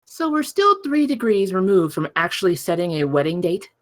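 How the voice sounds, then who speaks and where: A woman's voice: fairly low pitch, big pitch swings, normal speed. A woman playing a nagging, suspicious fiancée in a comedy table read, pointed and insistent. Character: nagging, suspicious fiancée set on her wedding.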